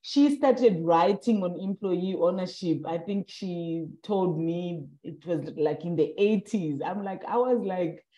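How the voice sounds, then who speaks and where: A woman's voice: lowish voice, measured pace, hardly any pauses, mellow, reverberant, dull audio. A young adult woman from Southern Africa, interviewing in a casual, hesitant way with many fillers.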